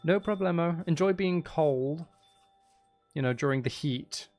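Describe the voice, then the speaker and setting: A man's voice: fairly high pitch, a little slow, a little mellow, faint music behind. A man live streaming in a casual, chatty way, with dry, teasing humour while he plays a game.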